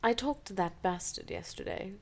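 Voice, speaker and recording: A woman's voice: medium pitch, expressive, moderate pace, gravelly. A woman voicing a stern, domineering male lover in a fanfiction reading, commanding and controlled. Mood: calculating, sad.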